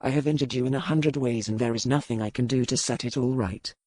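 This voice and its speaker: A man acts out a gallant pirate lord from a historical romance. He is self-assured and formal in his phrasing. The sound is dry and close, with a medium pitch. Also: quick pace, a little mellow, no echo, natural voice. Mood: remorseful.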